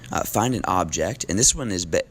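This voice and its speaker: A man teaching a recorded classroom lesson while losing his voice, talking in a relaxed, casual way with frequent ums. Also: low-pitched, fairly quick.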